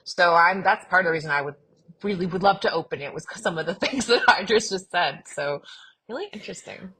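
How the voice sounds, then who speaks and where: A woman's voice: on the low side, fairly quick, fairly bright tone, a little hiss or hum. A woman co-hosting a podcast in an informal, enthusiastic chat. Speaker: podcast co-host. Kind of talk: casual podcast discussion.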